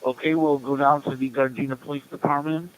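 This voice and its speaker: Man running a radio net, smooth voice. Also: mid-range voice, level tone, brisk, rough recording. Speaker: radio net control operator. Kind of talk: informal announcements and roll call on a community radio net.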